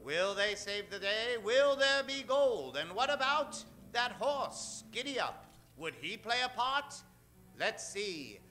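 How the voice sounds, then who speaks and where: A man hosting and announcing in theatrical old-time radio style, dramatic, drawn-out and emphatic. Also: high voice, very animated, sharp tone, faint music behind. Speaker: host and announcer.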